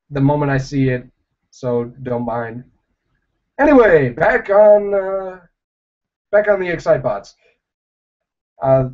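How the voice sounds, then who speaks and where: A young man chatting casually on a livestream, answering viewers in a rambling, halting way with many fillers. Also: on the high side, a little mellow. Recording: dull lo-fi livestream audio.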